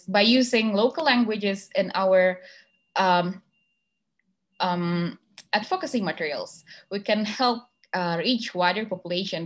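Young Indonesian woman giving an online talk. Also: medium pitch. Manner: hesitant, many fillers and restarts. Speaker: masterclass speaker. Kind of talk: online presentation with slides. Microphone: video call.

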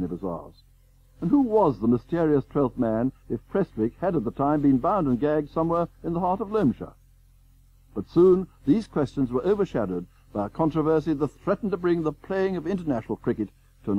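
A mock-solemn narrator for a radio documentary spoof. The voice is husky and fairly high with lively intonation, on a slightly dull recording. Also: male voice, heavily acted. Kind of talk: scripted narration.

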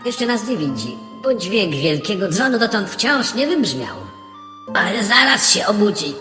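A woman's voice: mid-range voice, very animated, measured pace. A woman playing a knowing fairy-tale castle guide in an audio drama. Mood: explaining. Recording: echoey hall, music behind.

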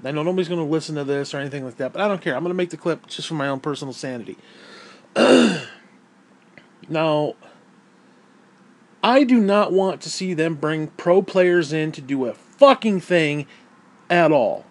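A hoarse man losing his voice, blunt and swearing a lot as he rants about a game. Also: on the high side, animated, normal speed, long pauses. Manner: profane, blunt and heated. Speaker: gaming vlogger. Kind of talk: angry opinion rant.

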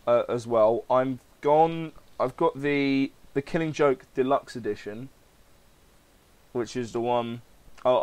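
A man hosting a podcast, chatting casually and reading aloud in an informal, hesitant manner, his throat slightly crackly. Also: mid-range voice. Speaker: podcast host.

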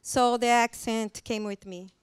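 A woman's voice: fairly high pitch, fairly even intonation, measured pace, clear, resonant tone. Brazilian-accented woman presenting a church seminar. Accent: Brazilian Portuguese. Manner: friendly, chatty, many fillers. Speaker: children's ministry trainer. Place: hotel meeting room. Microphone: handheld mic.

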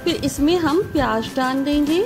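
A woman's voice: high voice, normal speed. A woman host giving a friendly step-by-step recipe voice-over, repeating emphatic praise for the dish. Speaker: cooking channel host. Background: background music.

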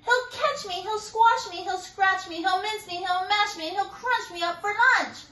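A tiny, terrified storybook mouse mother acted out by a woman reader pleads in a high, squeaky voice. The tone is bright and urgent, with room echo around it. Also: normal speed, recorded from a distance. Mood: panicked. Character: frightened little mother mouse, squeaky and panicky.

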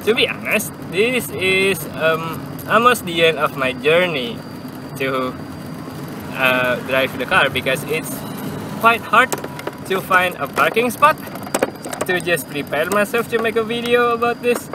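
A young man with an Indonesian accent chatting casually for a vlog while driving, haltingly repeating and correcting his words. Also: high voice, animated. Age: young adult. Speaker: vlogger. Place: inside a car with windows open, driving. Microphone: camera propped on the dashboard. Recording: clear car and traffic noise.

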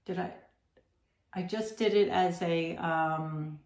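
A middle-aged woman talks slowly and casually to the camera, drifting through her thoughts with plenty of ums. Her voice is lowish with a gravelly edge. The room around her rings with a noticeable echo. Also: a little mellow. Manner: rambling, chatty, many ums and self-corrections. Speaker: crochet podcast host. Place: home room with red striped wallpaper, indoors. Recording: roomy echo.

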